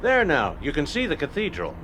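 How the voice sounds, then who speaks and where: A man's voice: a little high, huge pitch swings, brisk, noisy background. An actor playing a pedantic, good-humoured American father in a radio drama, explaining things like a guidebook. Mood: informative, cheerful. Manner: didactic, guidebook-like.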